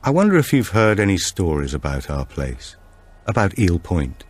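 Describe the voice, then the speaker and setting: Male narrator as reserved grown man, low pitch, terse, quietly probing, audiobook dialogue. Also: big pitch swings, normal speed. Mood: curious.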